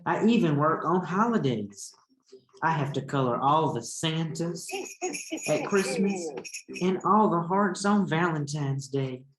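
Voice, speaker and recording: Indignant crayon with a grievance, played by a man reading a storybook, slow and emphatic, echoey. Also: on the high side, animated, a bit bright. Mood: indignant, worn out. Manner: emphatic, complaining. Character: overworked, indignant male red crayon airing grievances. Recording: roomy echo with background noise.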